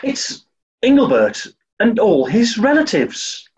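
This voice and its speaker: Guy doing grumpy dim posh sleuth in radio play, slow husky voice, dull audio. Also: high voice, huge pitch swings, a little mellow, exaggerated voice. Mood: exasperated. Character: dim, grumpy upper-class gentleman sleuth. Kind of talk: 1940s-style radio play acting. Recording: muffled, dull online audio.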